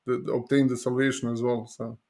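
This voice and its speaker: A man interviewing a guest, adding short remarks with halting repeats. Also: mid-range voice, little pitch movement, crowd noise. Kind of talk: casual interview chat.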